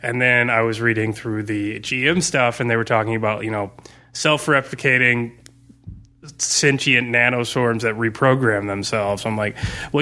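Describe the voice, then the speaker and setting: Bright-voiced man chatting casually about gaming. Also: medium pitch. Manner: informal, slangy, thinking aloud. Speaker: podcast guest, tabletop game master. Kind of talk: casual chat explaining his game campaign design.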